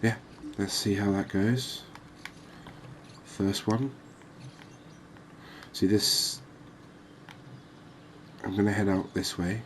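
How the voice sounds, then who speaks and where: A man gives soft ASMR game commentary in a low, very even voice. He takes his time, sounding calm and reassuring. There is faint noise and ambience behind him. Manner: unhurried, calming, reassuring. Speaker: host of a cozy gaming channel.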